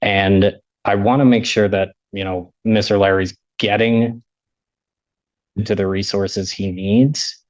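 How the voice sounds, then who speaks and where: A man's voice: lowish voice, steady tone, takes it slowly, no echo. A man arguing in court as a defense attorney, deferential and hesitant in his phrasing. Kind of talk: courtroom argument. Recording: dull, lo-fi courtroom audio.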